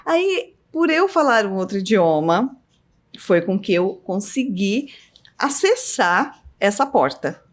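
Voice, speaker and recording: A middle-aged woman, a business owner telling stories as a podcast guest, her voice leaping up high as she laughs through anecdotes. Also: slow. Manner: lively storyteller, voice jumps up high in anecdotes, laughs often. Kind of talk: casual storytelling interview.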